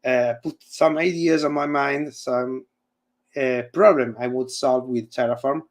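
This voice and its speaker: Italian man talking haltingly on a call. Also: medium pitch, fairly even intonation. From Italy. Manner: halting, searching for words, frequent fillers and restarts. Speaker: guest presenter and university lecturer. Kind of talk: casual chat and live coding demo on a video call. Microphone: video call. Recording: audio fading in and out.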